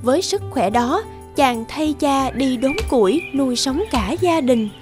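A woman's voice: sits high, animated, unhurried, music in the background. A woman giving scripted fairy-tale narration for a children's cartoon.

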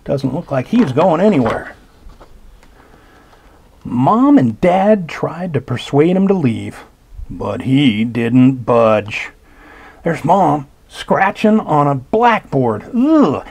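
A man reading a children's picture book aloud, slow and expressive, pausing to point out details in the pictures. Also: fairly high pitch, vocal fry, slightly rough. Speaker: storybook reader. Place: indoors at a table.

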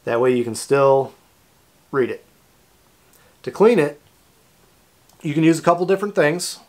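A man's voice: fairly high pitch, lively intonation, a little slow, darkish tone. A man in his thirties with a North American accent, hosting a product demonstration in a plain-spoken, informal, explanatory way. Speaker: host of a kayak fishing channel. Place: small indoor workshop room.

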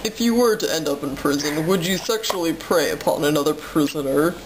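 A young woman's lowish, formally polite prank-call voice, overheard in an echoey, noisy room at home. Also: brisk. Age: young adult. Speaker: prank caller. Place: small room at home, indoors. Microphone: camera microphone a short distance away. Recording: roomy, noisy home camera recording.

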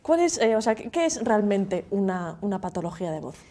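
A woman in her thirties with a Galician Spanish accent, with a mellow, warm tone. She interviews in a conversational way, often closing her questions with a tag. She is recorded in a TV studio. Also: medium pitch, sing-song, fairly quick.

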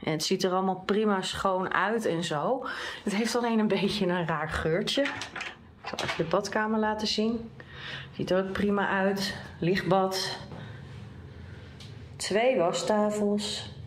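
Slow-talking woman showing a hotel room. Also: mid-range voice, noisy background. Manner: slow, plain show-and-tell. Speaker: vlogger giving a hotel room tour. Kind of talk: casual travel vlog. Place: small hotel room indoors.

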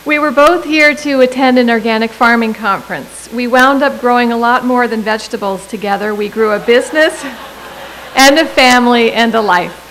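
A middle-aged woman delivering a prepared commencement speech at a podium microphone, with measured delivery and deliberate emphasis. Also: a little high, a bit bright, slight background noise, rough recording. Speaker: commencement speaker.

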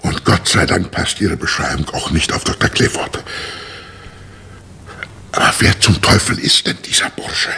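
Radio crime drama professor: gravelly, deep-set, formal, easily affronted. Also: male voice, animated, unhurried, long pauses, sharp tone, a little hiss or hum, heavily acted. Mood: startled, disbelieving. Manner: formal, indignant, hesitant 'äh'. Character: testy, startled male professor with an organ. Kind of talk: 1960s German radio crime drama acting.

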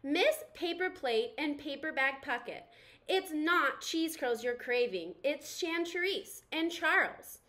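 An anxious, earnest little storybook toy , voiced by a woman reader. The voice is high and bright, with an emphatic, pleading delivery meant to rally the other toys. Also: expressive, slow pace, heavily acted. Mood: earnest, encouraging.

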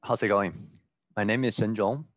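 A man from China, who runs a research lab, introducing himself calmly and matter-of-factly. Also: lowish voice, moderate pace, no echo. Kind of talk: scripted self-introduction.

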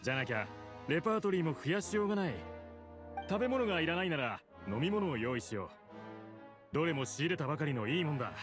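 Man playing middle-aged game cook, hospitable, familiar, highish voice, lively intonation, clear music behind. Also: quick pace, natural voice. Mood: pleading, upbeat. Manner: lively, familiar service talk. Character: genial middle-aged male cafeteria cook, hospitable, chummy. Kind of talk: Japanese anime game voice acting. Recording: studio voice under game music.

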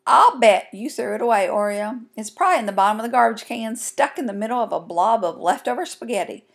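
A woman's voice: fairly high pitch, lively intonation, fast talker, gravelly. A woman voicing an ornery, teasing young country sister in a children's storybook, snippy and taunting. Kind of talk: folksy children's storybook reading.

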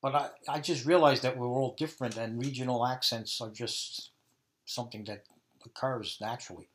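Man, 50s, Brooklyn accent, mid-range pitch, answering as an interviewee. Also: steady tone, moderate pace. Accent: Brooklyn New York. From Brooklyn, New York, USA. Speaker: interviewee, dialect research subject.

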